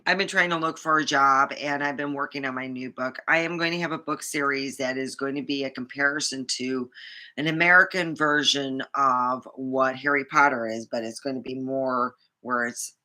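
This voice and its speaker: A woman's voice: rumbling low, steady tone, normal speed, sharp tone. A woman vlogger chatting casually and rambling, with many fillers and restarts.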